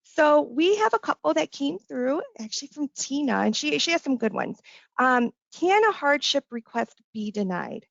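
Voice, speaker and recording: A woman with a hoarse voice from illness reads audience questions slowly and apologetically. Her voice sits a bit high. The audio is dry and dull, as on a webinar call. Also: very animated, dry recording. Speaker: co-presenter reading audience questions. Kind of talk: casual webinar Q&A.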